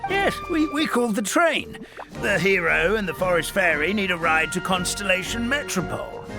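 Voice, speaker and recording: A man voicing an elderly, fussy origami forest animal in a game, expressive and prone to chuckling and scolding. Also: sits high, moderate pace. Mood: helpful. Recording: music under the voice.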